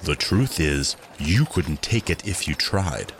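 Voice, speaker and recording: Man as deep-voiced, solemn archangel warrior. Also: expressive, slow pace, exaggerated voice. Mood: defiant. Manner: solemn, commanding. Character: stern, proud male archangel warrior. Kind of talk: audio drama acting.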